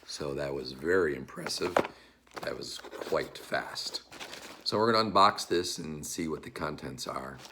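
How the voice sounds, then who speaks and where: A man hosting a casual unboxing, talking in a measured way as he handles and describes items, with rustling and clicking around him. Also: a bass voice, animated. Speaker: unboxing video host. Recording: noisy room with rustling and clicking.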